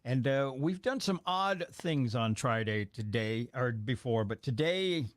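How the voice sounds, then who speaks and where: A man's voice: mid-range voice, lively intonation, measured pace. A man hosting a radio morning show, chatting casually and self-deprecatingly while tasting food in the studio. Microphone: studio microphone.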